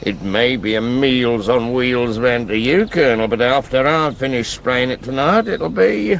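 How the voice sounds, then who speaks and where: An actor playing a cheeky working-class English mechanic turned crook in a radio drama, jokey, colloquial and sardonic. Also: male voice, mid-range voice, a little slow, gravelly, a little hiss or hum. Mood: mocking, cheerful.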